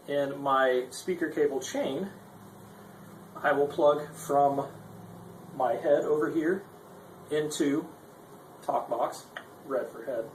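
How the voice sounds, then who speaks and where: Man explaining gear in an echoey room. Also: a little high. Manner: rambling, self-deprecating, conversational. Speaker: presenter of a guitar gear tutorial. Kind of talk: informal unscripted demonstration. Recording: echoey room with faint noise.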